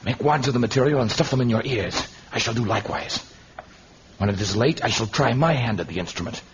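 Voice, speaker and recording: An actor playing a noble, earnest and commanding jungle hero in a vintage radio serial, with formal, deliberate diction. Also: male voice, medium pitch, natural voice. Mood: tense. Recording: dull noisy vintage radio recording.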